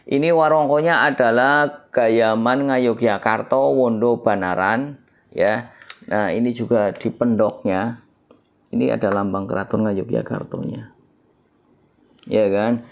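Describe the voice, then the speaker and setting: A man with a stuffy nasal voice, hosting his own keris channel. He opens with long prayers, then explains a blade in a measured way, with pauses and fillers. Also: mid-range voice, vocal fry. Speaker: keris collector and channel host. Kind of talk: slow devotional-toned explanation to camera.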